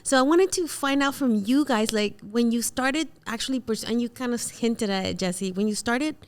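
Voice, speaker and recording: A native Spanish-speaking woman from Venezuela with a somewhat high voice hosts a casual conversation. She is emphatic and animated as she shares stories and puts questions to her guests. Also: normal speed, smooth. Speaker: panel host, actress. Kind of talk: casual panel conversation, personal storytelling.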